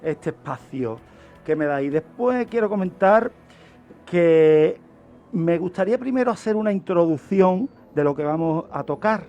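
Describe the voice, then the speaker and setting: A man's voice: high voice, expressive, measured pace, darkish tone, highs cut off, faint music behind. A man giving an explanatory radio talk as a criminologist, teaching by asking questions and answering them himself. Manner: didactic, asks and answers own questions. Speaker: criminologist presenting a radio segment.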